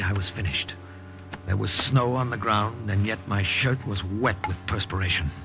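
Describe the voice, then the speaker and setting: Tense wartime saboteur, old radio drama. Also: male voice, fairly low pitch. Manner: dramatic, urgent. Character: resolute, protective male wartime saboteur telling his story. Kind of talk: old-time radio drama acting and narration. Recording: old radio recording with music behind.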